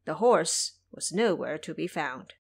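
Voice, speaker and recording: A woman narrating a scripted audio drama in an expressive, literary storytelling manner. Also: low voice, a little slow, creaky, husky.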